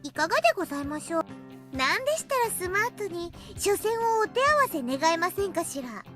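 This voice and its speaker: A cute anime schoolgirl from a visual novel game, with an extremely high, animated voice. The delivery is quick, in stylized game-acting fashion, over soft music. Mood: sly, polite.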